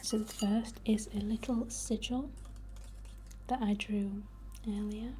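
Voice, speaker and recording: A woman speaking in a soft, breathy whisper, gently guiding a soothing, unhurried ASMR healing ritual. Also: medium pitch, fairly even intonation. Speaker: ASMR host. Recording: faint background noise.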